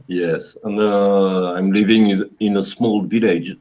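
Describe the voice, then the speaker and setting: A French man in his fifties, a programmer guest in an interview, speaking English slowly with many pauses to search for words. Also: on the low side, fairly even intonation, a little mellow, dull audio. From France. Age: 50s. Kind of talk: casual interview over a call.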